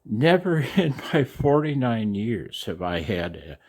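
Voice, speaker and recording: Gravelly, slow-talking older man. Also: mid-range voice, sing-song, a little mellow. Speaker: amateur radio operator and video host. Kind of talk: casual, rambling talk to viewers. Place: outdoors in the cold.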